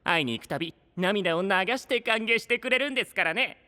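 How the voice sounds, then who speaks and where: An actor playing a teasing, courteous knight who tells stories in polite, playful and grandiose speech in an anime audio drama. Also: male voice, high-pitched, animated, a little fast, bright, forceful tone, in a large hall, exaggerated voice. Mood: mock indignant. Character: theatrical, teasing, courteous male knight storyteller.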